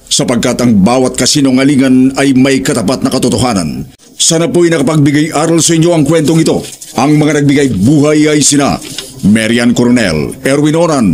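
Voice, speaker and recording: Male narrator, Filipino accent, average pitch, scripted closing-announcement delivery for radio-style drama. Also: darkish tone. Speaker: narrator announcing moral and credits.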